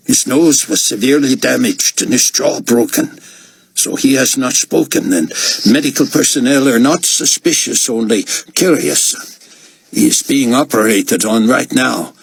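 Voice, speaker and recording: A man voicing a calm male church leader who speaks in a measured, reassuring way. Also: mid-range voice, gravelly, husky, no echo, pitched above the performer's own voice.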